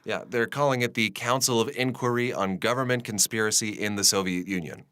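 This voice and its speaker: A man's voice: on the low side, fairly quick, fairly bright tone, smooth, no echo, clean studio sound, natural voice. A man playing a tired, earnest radio journalist talking conversationally as an interviewee in a drama. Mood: weary, earnest.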